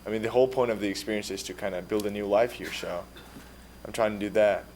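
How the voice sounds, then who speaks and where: Polite low-voiced teen from Georgia. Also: male voice, fairly even intonation, quick pace, a little mellow, a little hiss or hum. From Georgia (country). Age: teens. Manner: polite, earnest, brief answers. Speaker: exchange student. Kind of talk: answering questions at a public meeting.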